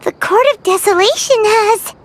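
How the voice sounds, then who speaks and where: A woman's voice: very high voice, moderate pace, a little hiss or hum. A woman voicing a small, childlike, eager helper sprite in a video game. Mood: eager, concerned. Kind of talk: scripted video game character dialogue.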